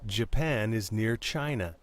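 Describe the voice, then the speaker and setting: A man with an American accent reading short English lesson phrases in a careful, measured way. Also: on the low side, animated. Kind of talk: slow scripted lesson reading. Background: plucked string music.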